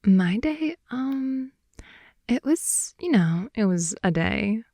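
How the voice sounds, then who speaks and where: A woman improvising an intimate ASMR roleplay as a playful, affectionate girlfriend in bed, speaking softly, often in whispers and sighs, close to the microphone. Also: medium pitch, expressive, takes it slowly, dry recording. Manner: soft, often whispery, sighing, teasing. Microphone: close microphone, dry intimate sound.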